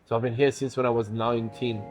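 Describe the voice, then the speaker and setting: A man's voice: mid-range voice, little pitch movement, fast, over music. A young man from Iran, a native Farsi speaker, chatting casually in an interview about his life. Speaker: bodybuilder.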